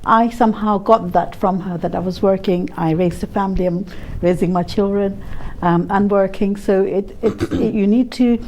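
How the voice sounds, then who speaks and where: A woman talking conversationally as a panel guest. Her voice is mellow and mid-pitched, with faint background noise. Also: steady tone, moderate pace, low-quality recording. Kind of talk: conversational discussion.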